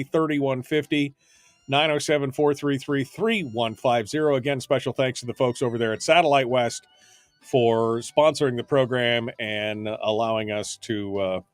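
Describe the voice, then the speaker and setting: A man's voice: medium pitch, no echo. A man hosting a call-in radio show, talking casually and chattily, often repeating himself. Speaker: radio talk show host. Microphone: studio microphone.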